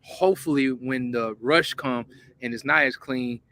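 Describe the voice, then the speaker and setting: A man's voice: mid-range voice, a little slow, sharp tone. A man co-hosting a sports call-in show, talking in casual, emphatic bursts.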